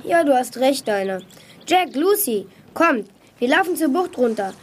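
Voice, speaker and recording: A woman's voice: high-pitched, very animated, a little slow, a little hiss or hum. A woman playing a youngster who leads friends in a scripted children's adventure audio drama. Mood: urging.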